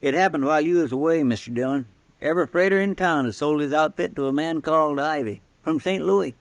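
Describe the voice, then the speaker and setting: An actor playing a chatty, deferential frontier deputy with an in a 1950s radio western. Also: male voice, fairly high pitch, fairly quick, no room sound, natural voice. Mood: earnest. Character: folksy, talkative, loyal frontier deputy.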